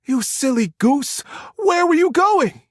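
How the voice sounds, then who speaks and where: A man's voice: extremely high pitch, animated, slow pace, no room sound, clean studio sound, pitched above the performer's own voice, exaggerated voice. A man voicing a playful, friendly young woman in a storybook, bubbly and breathless. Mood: playful, grateful.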